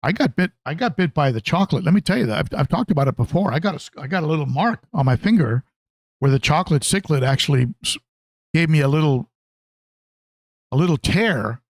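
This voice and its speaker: Higher-voiced man chatting on a livestream. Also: expressive, dry recording. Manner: conversational, halting, repeats phrases. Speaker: livestream host, aquarium hobbyist. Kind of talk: casual livestream chat, answering viewer comments.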